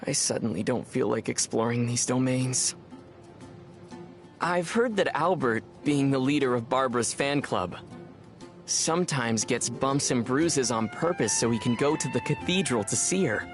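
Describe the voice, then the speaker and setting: A man as a calm young adventurer over music. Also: mid-range voice, moderate pace, close to an everyday voice. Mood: dryly wry, then wry. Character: calm, wry young male adventurer hero. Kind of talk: scripted game dialogue and storytelling.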